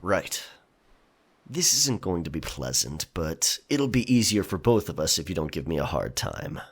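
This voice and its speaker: An actor playing a roguish, protective pirate in an audio drama, speaking in a measured, dry, politely threatening way. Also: male voice, deep voice, very animated, slightly rough, heavily acted. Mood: grim, controlled. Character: roguish male pirate, politely menacing, protective, dryly teasing.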